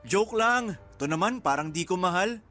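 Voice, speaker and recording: An actor playing a cocky young Filipino boyfriend in a radio drama, a playful charmer who talks in breezy, joking teases. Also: male voice, high voice, expressive, moderate pace, pushed and bright, soft background music. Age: young adult.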